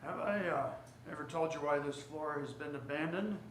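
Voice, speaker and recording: A man playing a halting, formal department store boss in a radio drama set in the 1940s. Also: a little high, natural voice. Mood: subdued. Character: department store boss, nervous but commanding gentleman. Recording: noisy, echoey live theater recording.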